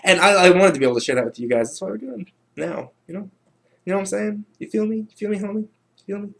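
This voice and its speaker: A man's voice: high voice, racing, gravelly, fairly bright tone. A man hosting a livestream in casual, rambling chat, often repeating himself as he goes. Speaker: livestream host.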